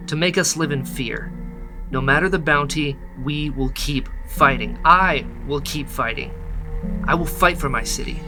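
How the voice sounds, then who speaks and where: A man's voice: mid-range voice, bright, forceful tone, close to an everyday voice. A man playing a young male rebel leader in a sci-fi radio drama, speaking earnestly and rousingly.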